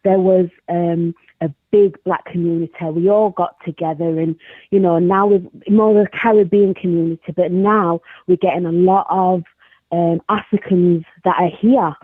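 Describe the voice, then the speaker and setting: A woman with a northern English South Yorkshire accent talks casually as a caller, often breaking into laughter. Her voice sits low and a little husky. It comes through a thin, tinny phone line. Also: steady tone, moderate pace. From South Yorkshire, England. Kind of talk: casual chat. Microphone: phone call.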